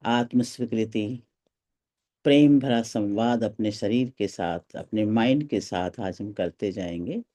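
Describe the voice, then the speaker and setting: A meditation guide speaking gently and without hurry, leaving pauses between short phrases of calm instruction. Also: medium pitch.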